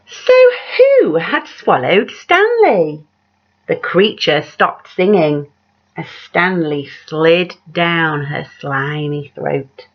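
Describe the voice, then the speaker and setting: A woman reads a picture book to young children with unhurried, dramatic delivery. Her voice sits a little low, and she pauses to put questions to her listeners. The sound is slightly dull and lo-fi. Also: sing-song. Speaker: teacher reading a picture book aloud. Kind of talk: expressive children's story reading with asides to young listeners.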